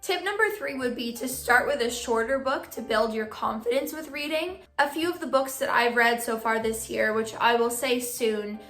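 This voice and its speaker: A young woman vlogging casually to her viewers, giving friendly reading tips, her voice a bit hoarse from losing it. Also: a little high, normal speed, few pauses, a bit bright, reverberant, soft background music. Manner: friendly advice-giving, filler "like". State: partly lost voice, hoarse. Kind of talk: casual vlog talk to camera.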